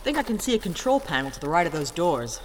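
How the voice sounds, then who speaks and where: A woman's voice: on the low side, sing-song, quick pace. A woman playing a tough, impatient, teasing adventurer with a blunt, mocking manner in a sci-fi audio drama. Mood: matter-of-fact. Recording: long reverb, clearly audible background noise.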